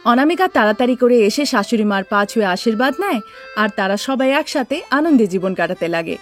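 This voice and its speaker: চড়া গলার মহিলা গল্পকথক. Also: female voice, fairly high pitch, animated, brisk, faint music behind. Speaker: story narrator. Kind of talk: scripted narration of a cartoon story.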